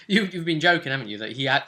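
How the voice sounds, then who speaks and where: A man with a bright, forceful voice pitched a little high. He co-hosts a tabletop role-playing vlog as game master, talking in a playful, theatrical, excitable way.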